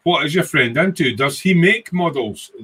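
A man's voice: fairly high pitch, lively intonation, moderate pace, fairly bright tone, husky. A man in his sixties with a Scottish accent, hosting a casual, rambling livestream chat in an informal way. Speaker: livestream host, hobbyist. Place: small home workshop room.

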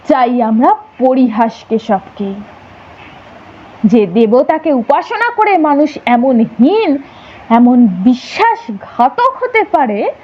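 A woman's voice: high-pitched, highs cut off, exaggerated voice. A woman voicing a fearless, vengeful male warrior chieftain in an audio story, grave and declamatory. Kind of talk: audio story character acting.

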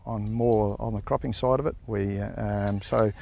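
An Australian grain grower in his sixties answers interview questions outdoors on his farm, speaking through a clip-on mic. His voice is low, dark and heavily gravelly, with a flat, even delivery. He talks slowly and hesitantly, full of fillers. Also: a little hiss or hum. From South Australia. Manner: halting, unhurried, frequent fillers.

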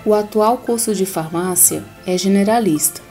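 A woman reading a scripted voice-over about a pharmacy degree in a clear, measured way. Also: mid-range voice, over loud music. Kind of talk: scripted narration.

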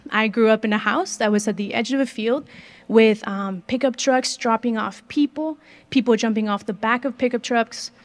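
A woman in her twenties from northern Mexico, Spanish her first language, with a slight Mexican accent, giving a chatty sermon into a handheld mic in a large gym and asking the audience questions. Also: fairly high pitch. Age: 20s. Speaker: guest speaker. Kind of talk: conversational sermon.

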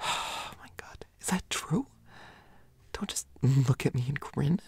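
A woman playing a nervous, pouty student in an intimate roleplay audio, stammering and scolding in a breathy, hushed voice. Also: rumbling low, animated, takes it slowly, in a whisper, heavily acted. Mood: flustered, nervous.

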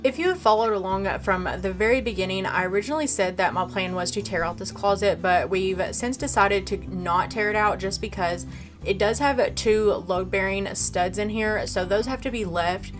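A woman's voice: medium pitch, normal speed, faint music behind. A woman vlogger giving a casual walkthrough of her farmhouse renovation, explaining her plans step by step, filmed on a handheld phone in an empty room.

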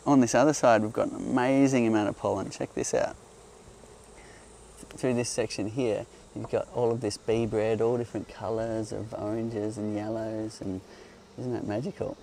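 A man calmly explaining beekeeping outdoors among hives, speaking in a gentle, explanatory way while hosting a live Q&A. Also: on the low side, normal speed, vocal fry, slight background noise, poor audio. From Australia. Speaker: beekeeper host. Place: outdoors on a hillside among beehives. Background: faint outdoor ambience and buzzing bees.

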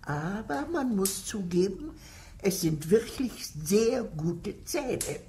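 A woman's voice: medium pitch, lively intonation, takes it slowly, dark tone, a little hiss or hum. An actress playing a sinister, domineering old aristocratic lady in a German radio thriller, savoring grim details. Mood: gleeful, triumphant.

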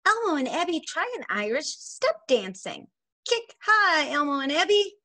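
High, lively-voiced woman reading to preschoolers. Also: normal speed. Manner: sing-song, expressive, addressing small children. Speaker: preschool teacher and story time host. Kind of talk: reading a picture book aloud to young children. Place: small indoor room at home.

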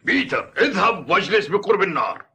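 An actor playing a kindly, gruff old mountain grandfather in an Arabic-dubbed children's cartoon, theatrical and paternal. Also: high-pitched, very animated, a little fast, bright, forceful tone, exaggerated voice. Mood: excited, welcoming.

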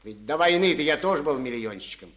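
A man's voice: a little high, lively intonation, brisk, a bit bright. An actor playing a resentful, stubborn collective-farm chairman in a studio radio play, speaking in a folksy way full of proverbs. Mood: bitter.

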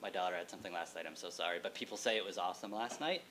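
Bright-voiced man giving a keynote, faint hum. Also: sits low, rapid-fire. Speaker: keynote speaker, college writing teacher. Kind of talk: prepared conference address with joking asides. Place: lecture hall, at a podium. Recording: hum and room noise, distant camera.

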